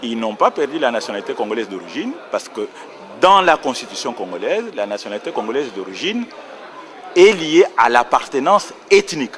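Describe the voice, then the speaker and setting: A man's voice: medium pitch, big pitch swings, brisk, a bit bright. A man explaining a legal point on a panel in a precise, lecturing way, correcting himself as he goes. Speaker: round table panelist on nationality law.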